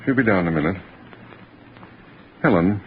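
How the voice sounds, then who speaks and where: A man's voice: low voice, animated, normal speed. An actor playing a patient, doting husband and indulgent father in a soothing, affectionate manner, in 1940s radio acting style. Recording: scratchy, hissy, narrow 1940s radio recording.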